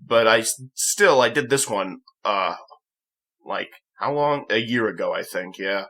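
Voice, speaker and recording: A young man hosting a gaming channel, talking off the cuff in a rambling, halting way, often correcting himself. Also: on the low side, expressive, pushed and bright. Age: young adult. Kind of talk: casual unscripted vlog commentary.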